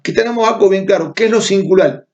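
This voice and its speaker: Man, Cuban Spanish accent, middle-aged, university lecturer, emphatic and repetitive, fairly high voice, muffled lo-fi sound. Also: fast, a little mellow. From Cuba. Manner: emphatic, repeats key terms, checks in with students. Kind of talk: online class lecture.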